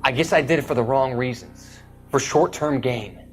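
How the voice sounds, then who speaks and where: A man's voice: medium pitch, normal speed, soft background music, lightly acted. An actor playing a troubled, guilty young ex-serviceman in a video game, earnest and deferential, with an American accent. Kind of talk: video game dramatic acting.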